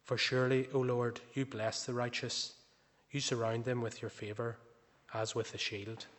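Slow, measured man reading scripture. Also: medium pitch, flat delivery, a bit bright. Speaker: scripture reader. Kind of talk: Bible reading in a service. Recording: distant voice in echoing church.

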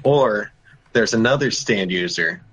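A man's voice: fairly low pitch, unhurried, a bit bright, rough recording. Man player thinking aloud. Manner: questioning, talks through plans. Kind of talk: casual tabletop play.

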